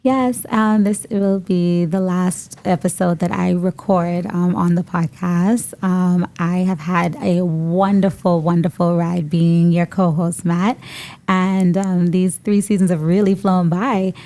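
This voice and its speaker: A Bahamian woman co-hosting a podcast, speaking reflectively with many pauses and fillers. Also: fairly low pitch, fairly even intonation, mellow. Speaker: podcast co-host. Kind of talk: casual podcast conversation. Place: studio room.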